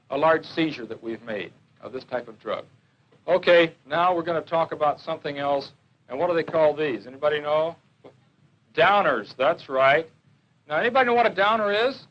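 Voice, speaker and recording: A man's voice: a little high, muffled recording, a little hiss or hum. A man giving an informal classroom slide lecture as a police drug educator, on a 1970s educational film soundtrack. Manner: questioning, repeats and confirms answers.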